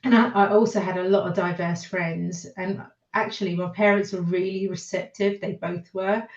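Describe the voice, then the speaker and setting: British woman, slightly low voice, reflective, hesitant, personal storytelling, echoey and dull lo-fi recording. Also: fairly even intonation, normal speed. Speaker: podcast guest. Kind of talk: personal storytelling in a panel discussion.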